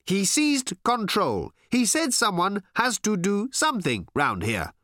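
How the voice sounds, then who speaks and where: A storyteller voicing a naive, earnest space alien who speaks in stilted, literal, drawn-out phrases. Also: medium pitch, wildly swooping pitch, a bit bright, no room sound, clean studio sound, voice pushed up. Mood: matter-of-fact.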